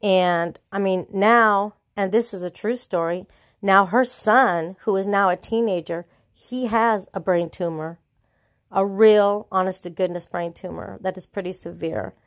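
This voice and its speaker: A woman's voice: fairly low pitch, no room sound. A middle-aged woman speaks with a stuffy, congested voice from a cold. She tells her story casually and earnestly, wandering and restarting her sentences. The sound is dry and close, with no room around it. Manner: rambling, earnest, with restarts and trailing pauses. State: sick with a cold, congested and sore-throated. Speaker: vlogger.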